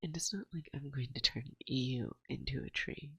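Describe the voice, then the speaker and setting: A woman playing a playful, dominant forest witch in an ASMR roleplay, speaking softly and breathily close to a binaural mic, often slipping into a whisper, teasing and coaxing. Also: extremely low pitch, breathy edge, no echo, heavily acted. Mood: playful, musing. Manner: slow, teasing, coaxing, with musing pauses. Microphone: close binaural microphone.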